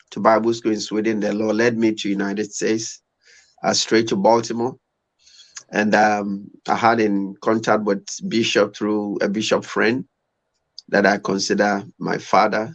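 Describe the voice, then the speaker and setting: A man's voice: lowish voice, gravelly, poor audio. A man in his fifties from Ghana with a Ghanaian accent, speaking as a guest pastor in a conversational religious style. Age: 50s. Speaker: pastor, guest on a live stream. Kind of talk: conversational testimony and scripture reading.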